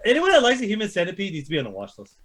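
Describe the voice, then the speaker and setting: A man's voice: high voice, huge pitch swings, racing, fairly bright tone. A man co-hosting a podcast in casual, argumentative banter, with a sarcastic, contrarian and profane way of talking. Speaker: podcast co-host.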